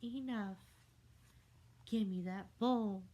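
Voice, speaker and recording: A bossy fairy who hosts a children's TV show, voiced by a woman reading a storybook aloud. Her voice sits well above a normal speaking voice and comes out shrill and exasperated. She scolds and snaps as she talks, with an unhurried storybook pace. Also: dark tone, muffled recording, natural voice. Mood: fed up.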